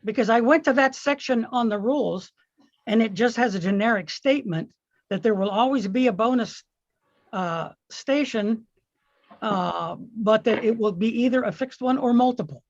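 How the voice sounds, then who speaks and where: A man's voice: extremely high pitch, moderate pace, no room sound. A man talks casually as a participant in a club meeting, offering comments and questions. The audio is slightly dull, like a modest call connection, and quite dry. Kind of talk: casual discussion.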